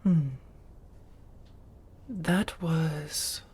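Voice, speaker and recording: A woman voicing a centuries-old, genteel and affectionate vampire housemate in a soft-spoken ASMR roleplay, with a husky, breathy voice and measured, old-fashioned phrasing. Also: deep voice, steady tone, heavily acted. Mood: reflective, genteel.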